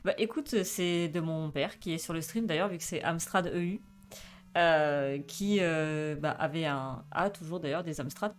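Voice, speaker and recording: A woman's voice: deep voice, a little slow. A woman in her twenties chatting casually on a live stream, with many fillers, reading chat aloud and laughing often. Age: 20s.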